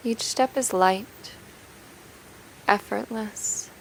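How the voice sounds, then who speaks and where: A woman narrating a guided meditation in a gentle, soothing, unhurried way, leaving long pauses between phrases. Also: mid-range voice, vocal fry, a little hiss or hum, rough recording.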